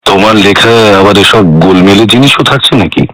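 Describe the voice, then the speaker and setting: An actor playing an inquisitive, concerned adult son in a radio drama, speaking in a familiar, questioning way. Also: male voice, on the low side, fairly quick, fairly bright tone, natural voice, poor audio. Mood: curious, concerned.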